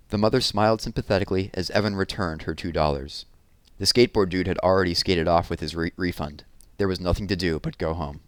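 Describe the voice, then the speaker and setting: A man narrating a children's chapter book expressively, leaning deliberately on key words for drama. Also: low-pitched, no room sound. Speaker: read-aloud narrator.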